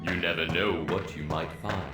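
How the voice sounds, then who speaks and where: A man playing an older, watchful wizard mentor in a fantasy game, giving measured, authoritative instructions. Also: deep voice, fairly even intonation, echoey room, close to an everyday voice. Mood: calm, mentoring. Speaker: mentor guiding the player. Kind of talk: scripted fantasy game dialogue. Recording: loud game music over the voice.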